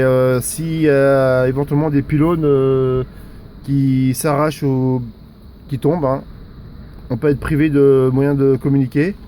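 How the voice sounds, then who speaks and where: A man's voice: mid-range voice, dark tone. A man giving casual outdoor commentary as a radio operator, hesitant and conversational, often repeating himself. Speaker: amateur radio operator and civil security volunteer. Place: outdoors in a storm. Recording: windy outdoor noise. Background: faint wind noise.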